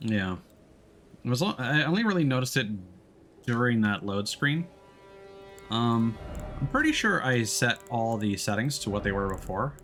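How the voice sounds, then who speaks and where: A man giving casual live commentary as he streams a video game, often pausing to think aloud. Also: medium pitch, very animated, fairly bright tone, soft background music.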